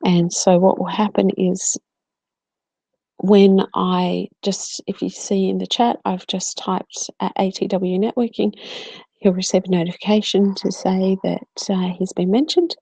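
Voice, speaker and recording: A woman's voice: mid-range voice, level tone, unhurried, creaky, dark tone, husky, no room sound, poor audio. A woman from South Australia with a South Australian accent, co-hosting a casual live-stream Q&A and chatting to viewers, with plenty of ums. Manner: chatty, many ums, answers viewers by name. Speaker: co-host.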